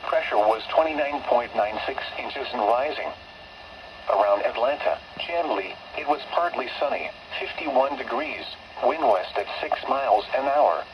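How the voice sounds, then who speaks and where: A synthetic male announcer reads out a weather broadcast, every phrase spaced evenly, with sudden pitch jumps on the numbers. He comes through a muffled radio receiver, and steady static hisses behind him. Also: measured pace, vocal fry. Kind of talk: automated weather broadcast reading.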